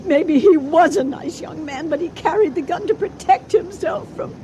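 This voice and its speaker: An actress playing an anxious, doubting wife in an old-time radio thriller, hesitant and fretful in a dramatic style. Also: female voice, very high-pitched, normal speed, warm tone, a little hiss or hum. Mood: doubtful, pleading. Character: anxious, doubting wife plotting to hide a corpse.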